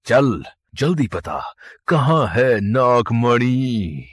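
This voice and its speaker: Cruel, husky serpent villain. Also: male voice, mid-range voice, big pitch swings, dry recording, clean studio sound, exaggerated voice. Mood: gloating. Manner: slow, drawn-out, taunting threats. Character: wicked male serpent-clan villain, cruel, menacing, gloating.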